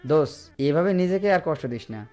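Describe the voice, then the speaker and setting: A man's voice: fairly high pitch, animated, normal speed, darkish tone, lightly acted. A man playing an encouraging, insistent young college friend in an audio story. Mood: urging. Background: background music with guitar.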